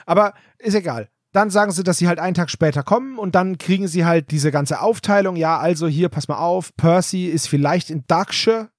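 A man's voice: sits high, brisk, few pauses, no room sound, studio quality. A man co-hosting a podcast, chatting casually and animatedly about an audio drama. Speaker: podcast host.

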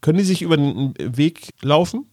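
A man's voice: a little high, expressive, normal speed, mellow. Man, casual podcast host. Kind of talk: casual chat about radio dramas.

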